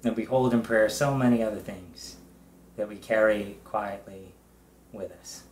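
Slow-speaking male pastor in an echoey room. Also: lowish voice, slight background noise. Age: middle-aged. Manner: deliberate, with short phrases and long pauses. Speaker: pastor leading worship. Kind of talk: pastoral prayer, measured and reverent. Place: indoors, small room with bare wall.

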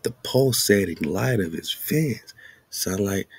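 Man, very low pitch, husky edge, dry sound, informal show host, slangy commentary. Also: lively intonation, takes it slowly, no echo. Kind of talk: casual commentary mixed with reading aloud.

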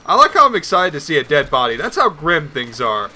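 A man with a bright, slightly high voice commentates a video game in a casual way. He rambles nervously, often talking to himself. Some noise and game ambience sit behind him. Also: very animated, fairly quick. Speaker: gaming video host. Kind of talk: casual let's play commentary.

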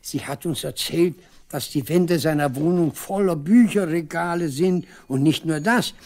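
An old village father in a seventies East German radio play. The voice is gravelly and rather high for a man. He rambles proudly and wistfully, with stubborn emphasis. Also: a little slow, darkish tone. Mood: defensive, hopeful. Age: older. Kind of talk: 1970s East German radio drama acting.

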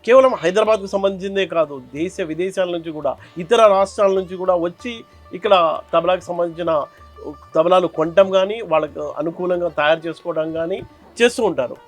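Male reporter, slightly high voice, explainer and interview delivery, music behind. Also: a little fast. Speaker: reporter and presenter. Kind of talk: explainer narration and street interview.

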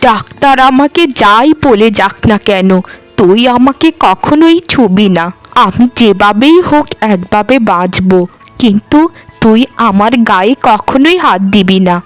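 A woman's voice: medium pitch, lively intonation, unhurried, no room sound, faint music behind, voice pushed down, lightly acted. A woman voicing an arrogant rich mistress in a cartoon, scornful and commanding. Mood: ailing, desperate. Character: haughty rich mistress, later sick and humbled. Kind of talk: cartoon voice acting.

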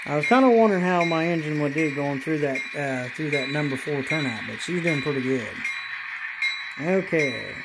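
A man casually narrating as he runs his model trains at home, pausing often with fillers and restarts. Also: on the high side, very noisy. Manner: halting, many fillers and restarts, relaxed. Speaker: model railroad hobbyist channel host. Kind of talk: casual running commentary while operating trains. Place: apartment room with model train layout.

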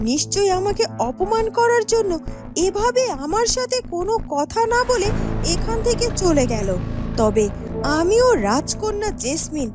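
Very high-voiced haughty young princess. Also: female voice. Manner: haughty, self-absorbed, aggrieved. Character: vain, spiteful young fairy-tale princess. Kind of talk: cartoon dialogue and inner monologue.

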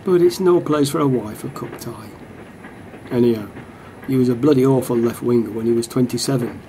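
An actor playing a lonely, grumbling middle-aged football fan in a radio play, rambling to himself. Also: male voice, brisk, mellow, lightly acted, rough recording. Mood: wistful grumbling. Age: 40s. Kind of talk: radio play monologue, muttering over a newspaper. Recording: train carriage rumble behind.

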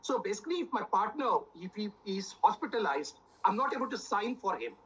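Husky man, sixty, earnest panelist on a video call. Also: high voice, very animated, a little fast, a bit bright, lo-fi sound. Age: 60s. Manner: earnest, pleading, filler-heavy. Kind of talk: personal argument in a TV debate.

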